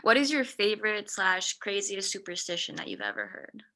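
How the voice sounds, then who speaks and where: A woman's voice: medium pitch, sing-song, normal speed, sharp tone. A woman hosting an interview, introducing her guest and asking questions. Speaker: interview host.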